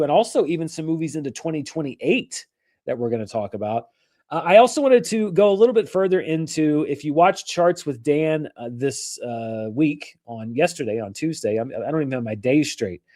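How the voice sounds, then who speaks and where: A hoarse man hosting a casual live-stream about movie news, rambling and correcting himself as he goes. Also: on the high side, a little mellow. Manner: rambling, self-correcting, chatty. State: hoarse from allergies.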